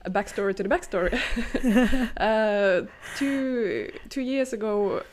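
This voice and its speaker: A woman's voice: a little high, slow pace, a little hiss or hum. Hesitant woman podcast co-host. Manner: hesitant, many fillers and restarts, laughs often. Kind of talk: casual chat.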